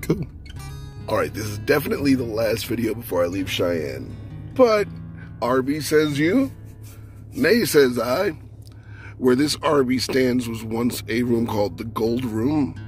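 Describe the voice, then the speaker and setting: A man's voice: mid-range voice, expressive, a little slow. A man filming a casual travel vlog outdoors, chatty and wry, who is losing his voice. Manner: chatty, wry, self-narrating. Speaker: vlogger. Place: outdoors, windy town streets. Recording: music under the voice.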